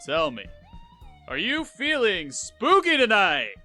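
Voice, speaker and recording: Theatrical monster tv host for a spooky dating game, super animated showman patter, music behind. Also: high voice, takes it slowly, exaggerated voice. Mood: playfully sinister. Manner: showy, grandiose game-show patter. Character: theatrical pumpkin-headed male monster dating show host. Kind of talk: visual novel voice acting.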